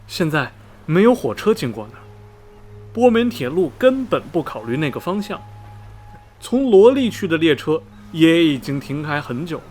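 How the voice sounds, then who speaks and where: A man's voice: on the high side, very animated, normal speed, a little mellow. A man playing a chatty middle-aged railway station veteran in a radio drama, folksy and rambling, with many sighs and interjections. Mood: gossipy storytelling. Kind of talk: Mandarin radio drama dialogue, storytelling. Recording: music behind the voice. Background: background music.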